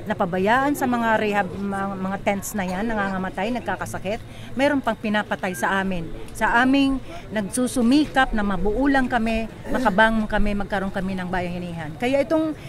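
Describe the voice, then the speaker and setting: An older woman, speaking as an activist spokesperson in an impassioned press interview into a handheld microphone. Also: mid-range voice. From Philippines. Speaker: activist, disaster survivor spokesperson. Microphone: handheld reporter microphone. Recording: street crowd and traffic noise.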